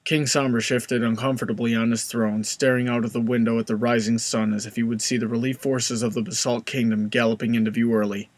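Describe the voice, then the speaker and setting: A man's voice: mid-range voice, little pitch movement, brisk, few pauses, dry recording. A man reading scripted story narration as the narrator of a comic tale.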